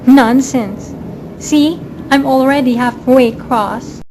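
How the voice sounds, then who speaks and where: A woman playing a scheming, greedy murderess in an amateur radio drama, falsely sweet and coaxing, with smooth reassurance. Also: high voice, slow, darkish tone. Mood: falsely reassuring. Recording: faint background noise, amateur recording.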